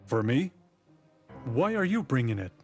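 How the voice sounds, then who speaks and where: 19th century russian detective for a game, dry and bossy, medium pitch, music under it. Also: male voice, sing-song, measured pace, a little mellow. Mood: businesslike. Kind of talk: visual novel voice acting.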